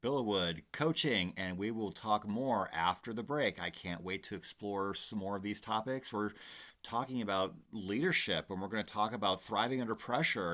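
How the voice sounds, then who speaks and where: Deep-voiced male radio host. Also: pushed and bright. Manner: smooth announcer-trained delivery; enthusiastic, affirming. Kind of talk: radio interview.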